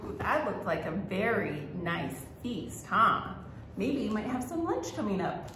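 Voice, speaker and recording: A woman hosting a children's storytime as a librarian, reading aloud expressively and talking simply to young children. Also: fairly high pitch. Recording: roomy echo with faint background noise.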